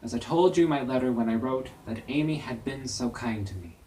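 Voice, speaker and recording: A man acting an earnest, lovelorn young married man in a dramatic monologue from a classic novel, deliberate and emphatic. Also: mid-range voice, expressive, warm tone, slight background noise, natural voice. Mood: tender, resigned. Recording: voice distant from the microphone.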